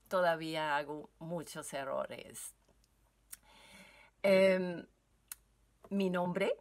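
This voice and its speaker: Slow-speaking French Canadian woman. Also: low-pitched. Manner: slow, hesitant, many filler sounds. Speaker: yoga teacher giving a seminar. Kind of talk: recorded solo talk to viewers. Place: furnished basement room.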